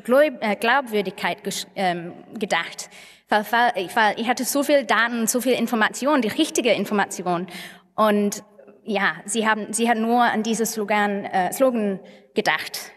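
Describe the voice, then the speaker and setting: Echoey hall, halting woman with English accent. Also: fairly high pitch, fairly bright tone. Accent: English accent in German. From England (Manchester). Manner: halting, searching for words in non-native German. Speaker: conference speaker. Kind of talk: conference talk with personal anecdotes. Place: large conference hall on stage, indoors. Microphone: podium microphones. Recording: echoey hall with long reverb.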